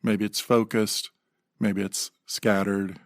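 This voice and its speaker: Male meditation guide, lowish pitch with vocal fry, gentle delivery with pauses. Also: level tone, no echo, clean studio sound. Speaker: meditation teacher.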